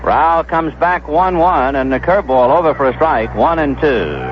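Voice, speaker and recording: An American man calling baseball play-by-play on the radio, conversational and descriptive, filling in stories between pitches. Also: animated, normal speed. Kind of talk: play-by-play commentary. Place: ballpark broadcast booth. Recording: dull, noisy vintage 1970s radio broadcast.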